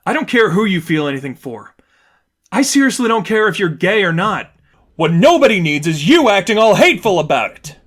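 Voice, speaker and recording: A man's voice: high voice, very animated, brisk, fairly bright tone, pitched above the performer's own voice, full character voice. A man voicing an angry, argumentative young woman, an impassioned and condescending friend, in a dramatized story reading. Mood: furious. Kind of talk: dramatized fan fiction reading.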